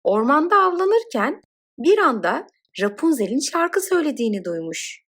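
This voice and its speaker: A woman narrating a scripted children's fairy tale in an expressive, dramatic storytelling manner. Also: sits high, normal speed.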